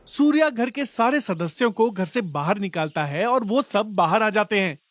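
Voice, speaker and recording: A man's voice: sits high, expressive, normal speed, over music. A man narrating a scripted moral story for children as a storyteller.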